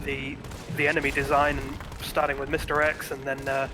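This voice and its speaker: A man's voice: mid-range voice, completely flat delivery, a little fast, background noise. A man guesting on a game stream, chatting casually and stammering, repeating words often. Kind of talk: casual chat.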